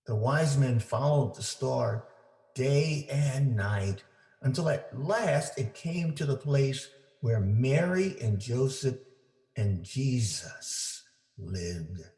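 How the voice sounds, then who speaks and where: A man reading a children's story aloud for families, with deliberate, simple phrasing for young listeners. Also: mid-range voice, animated, a little mellow. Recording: roomy, echoing indoor sound.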